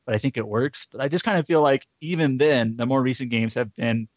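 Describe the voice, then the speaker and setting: A man co-hosting a casual chat, talking in a halting, self-correcting way on slightly dull audio. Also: mid-range voice, no room sound. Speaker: podcast co-host.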